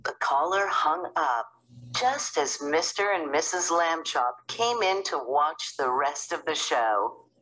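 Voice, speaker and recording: A woman reading a children's storybook aloud in an expressive narrating style. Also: sits low, takes it slowly, rough recording. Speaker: storybook reader.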